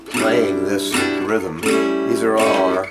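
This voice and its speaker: A man giving an informal guitar lesson, explaining things directly to the learner in a halting, conversational way. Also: fairly low pitch, fairly even intonation. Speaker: guitar teacher. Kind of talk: informal instructional music lesson to camera.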